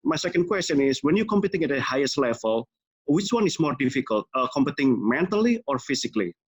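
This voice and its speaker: A man with an Indonesian accent asks questions as a participant in an online session. His pitch is average and his phrasing halting. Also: very animated, slightly rough.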